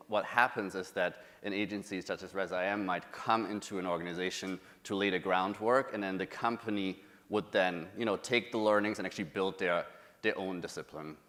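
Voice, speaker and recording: A man's voice: low voice, a bit bright. A man in his thirties with a German accent, giving a conversational conference talk, full of fillers and restarts. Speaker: conference speaker. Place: large conference hall. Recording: long hall reverb.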